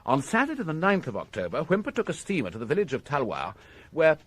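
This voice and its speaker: A British police inspector in a radio crime drama. His voice is slightly husky and moves freely up and down, yet his delivery stays measured and formal. He sets out each point with courteous, methodical precision. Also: a little high, huge pitch swings, no echo. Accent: British English.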